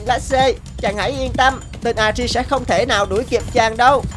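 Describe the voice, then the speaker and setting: A woman's voice: a little high, fairly even intonation, normal speed. A woman voicing a kindly, solemn magical bird spirit guide with reverb in a fairy-tale cartoon. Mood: solemn, reassuring. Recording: echoing reverb, music behind.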